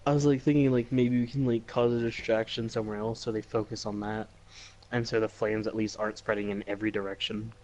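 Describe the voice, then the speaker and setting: A man's voice: on the low side, little pitch movement, quick pace, darkish tone, highs cut off. A man talking casually as a player in a tabletop role-playing game.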